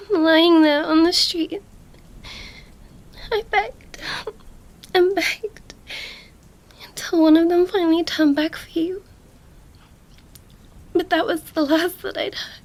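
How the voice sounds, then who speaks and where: A woman's voice: very high voice, exaggerated voice. A woman playing a young princess, commanding yet tender and distraught, in an emotional ASMR roleplay monologue. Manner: hushed, breathy, halting, emotional delivery.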